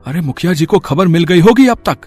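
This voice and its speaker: A man voicing anxious village men in an animated story, with earnest, exaggerated dramatic delivery. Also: fairly high pitch, brisk, breathy edge, no room sound. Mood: alarmed, astonished. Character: anxious village men, gossiping neighbours and pleading headman. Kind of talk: animated story character acting. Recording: dry voice over music bed. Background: background music.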